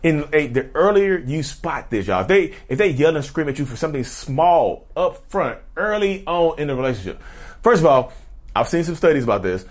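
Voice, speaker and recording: Lively chatty man talking to his viewers. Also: a little high, moderate pace. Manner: chatty, keeps addressing viewers as y'all; repeats and restarts, drifts into sidebars. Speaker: YouTuber talking about narcissism. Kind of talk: casual direct-to-camera talk.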